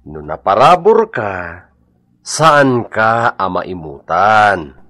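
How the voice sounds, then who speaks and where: A man's voice: on the low side, a bit bright, dry recording, exaggerated voice. A man playing a blunt, scolding husband who lectures reproachfully in an Ilocano radio drama. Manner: preachy, reproachful.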